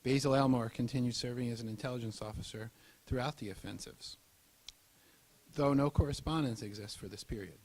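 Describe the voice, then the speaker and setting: A man's voice: medium pitch, fairly quick, darkish tone, low-quality recording. Formal male narrator, mid-range voice. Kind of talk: scripted historical narration.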